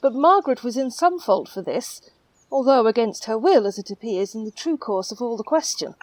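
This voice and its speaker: An actress playing an authoritative noblewoman and mother in a Shakespeare radio play, speaking in a measured, commanding way. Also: on the high side, lively intonation, a little mellow, no echo, low-quality recording. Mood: composed, commanding. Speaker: actor in an audio Shakespeare play.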